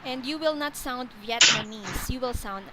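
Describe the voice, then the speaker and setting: A woman's voice: fairly high pitch, normal speed, noisy background. A woman from the Philippines with a Filipino accent, teaching an online English lesson over a video call in a patient, explanatory way. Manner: patient, explanatory, often repeats and rephrases. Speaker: English tutor.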